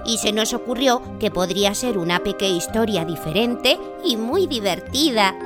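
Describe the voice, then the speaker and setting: A woman's voice: medium pitch, animated, moderate pace. A woman presenter giving a friendly, chatty scripted introduction addressed to children. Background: music.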